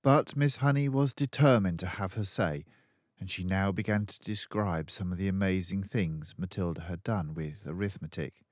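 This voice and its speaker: An older man reading a children's book aloud as an expressive storyteller. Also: low-pitched, moderate pace, no room sound, highs cut off. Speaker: storybook reader.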